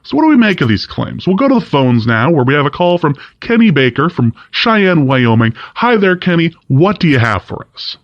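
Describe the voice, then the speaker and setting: Lively, opinionated talk radio host. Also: male voice, fairly high pitch, moderate pace, few pauses, dry recording, highs cut off. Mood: presenting, critical. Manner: emphatic, opinionated. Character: indignant male talk radio host, skeptical of government. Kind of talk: talk radio monologue acting.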